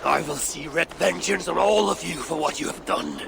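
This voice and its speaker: A man's voice: on the high side, normal speed, creaky. A man playing a proud, wrathful Norse god warrior in a fantasy game, defiant and commanding. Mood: furious defiance. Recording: noisy ambience with water sounds.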